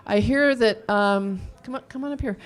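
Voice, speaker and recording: A woman's voice: medium pitch, normal speed. A woman presenting at a live event, casually introducing a speaker from the stage through a microphone.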